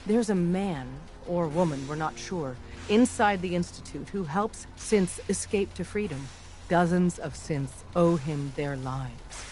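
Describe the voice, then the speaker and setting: A somewhat high-pitched resistance leader from a video game, acted by a man, earnest and guarded. He briefs his agent with deliberate, persuasive urgency. Faint machine noise sits behind the voice. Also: a little mellow, close to an everyday voice. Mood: grave, confiding.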